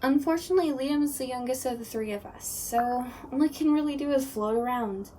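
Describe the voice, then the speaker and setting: A woman's voice: high voice, a little fast, warm tone, recorded from a distance, a little hiss or hum, natural voice. A woman playing a goofy, playful ghost who loves puns in an audio roleplay, chirpy and eager. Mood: chatty, eager.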